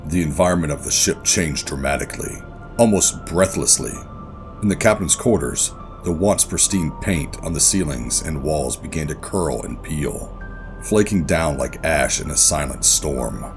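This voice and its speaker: An actor playing a gruff, guarded covert operative who narrates a sci-fi horror audio drama in a brooding, deliberate way. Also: male voice, rumbling low, animated, music in the background. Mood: brooding, ominous. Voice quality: gravelly. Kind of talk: first-person scripted audio drama narration and dialogue.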